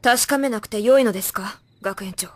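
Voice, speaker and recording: A woman's voice: mid-range voice, fairly quick, lightly acted. Woman acting a reserved, serious schoolgirl shrine maiden. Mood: subdued, serious. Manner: hushed, polite, serious. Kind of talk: anime audio drama acting.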